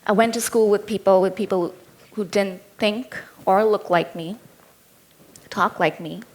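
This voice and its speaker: A woman's voice: medium pitch, unhurried, a little mellow. A woman in her thirties with an Indian accent, giving a keynote talk as a personal story told in a candid, lightly humorous way. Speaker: keynote speaker.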